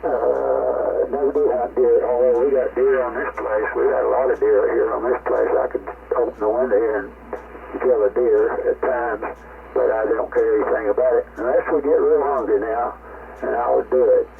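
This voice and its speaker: A man's voice: mid-range voice, fairly even intonation, normal speed, creaky. An older man, reminiscing in a folksy, rambling way over a ham radio link. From rural United States. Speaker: amateur radio operator. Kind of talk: casual reminiscing chat. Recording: tinny, noisy ham radio transmission.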